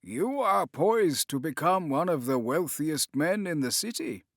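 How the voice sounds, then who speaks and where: A man's voice: a little high, expressive, unhurried, no echo, pitched above the performer's own voice. A smug, calculating conspirator with a thick foreign accent , put on by a male narrator. He is an audiobook villain, heard in a dry, close recording. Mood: flattering.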